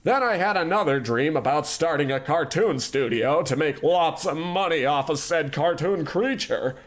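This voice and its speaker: A man plays a smug, pompous tycoon in a comedy radio play, in a raised voice with big, lively swings of pitch. He lords it over everyone, flippant and self-satisfied. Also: moderate pace, natural voice. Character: pompous, careless middle-aged male animation tycoon. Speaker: company boss character.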